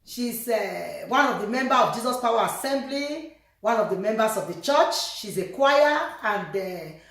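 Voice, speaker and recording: A woman pastor preaching in short phrases, pausing for an interpreter and often calling out hallelujah and glory be to God. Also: on the high side, expressive, a bit bright. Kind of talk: sermon with live interpretation. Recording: very echoey room.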